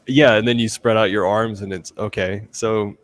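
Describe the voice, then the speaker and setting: A man's voice: fairly low pitch, steady tone, moderate pace, a little hiss or hum. A man hosting a livestream in casual chat, informal and encouraging with his guests. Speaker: livestream host.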